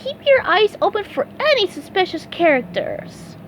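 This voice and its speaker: A woman voicing an eager, cheerful young male sea sponge in a storybook reading, high and excitable. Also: normal speed, slight background noise, rough recording. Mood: earnest.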